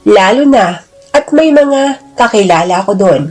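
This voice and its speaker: A woman's voice: mid-range voice, animated, a little slow, a little mellow. A woman narrator playing a bold, flirtatious single woman who talks in a brash, slangy, playfully scolding way in an audio drama. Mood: sassy, flirtatious.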